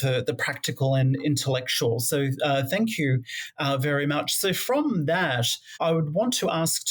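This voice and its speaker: A woman hosting a podcast interview, summing up and posing long, carefully built questions with some fillers. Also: extremely low pitch. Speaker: podcast host.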